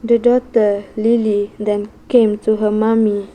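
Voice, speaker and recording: A woman's voice: mid-range voice, little pitch movement, measured pace, dark tone, low-quality recording. A woman reading scripted radio drama narration in a dramatic storytelling manner. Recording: clear background noise.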